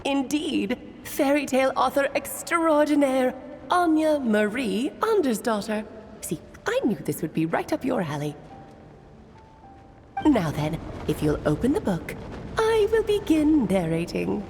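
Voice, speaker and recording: A whimsical mage who loves telling stories in a fantasy game. Her voice is fairly high and very animated, grandiose and teasing, with faint music behind it. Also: unhurried, exaggerated voice. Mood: playful. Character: playful theatrical grown woman mage, whimsical storyteller aunt. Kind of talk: video game voice acting.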